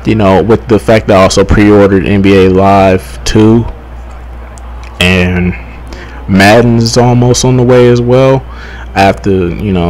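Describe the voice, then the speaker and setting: A young man giving casual, rambling gaming commentary, halting, repeating himself and swearing freely. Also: low voice, darkish tone, slight background noise. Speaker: gaming YouTuber.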